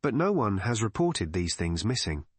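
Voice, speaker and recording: A man playing a courteous, measured village vicar in an audiobook mystery. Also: on the low side, very animated, slightly rough, no room sound. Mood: helpful, calm.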